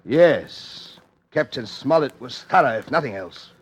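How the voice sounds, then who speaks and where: Male actor as haughty patriarch in radio mystery. Husky, low-pitched, pompous, animated delivery, easily irritated. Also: measured pace. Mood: suave. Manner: pompous, clipped understatement, irritable. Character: haughty, scheming father covering up a murder, pompous. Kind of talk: radio mystery play acting.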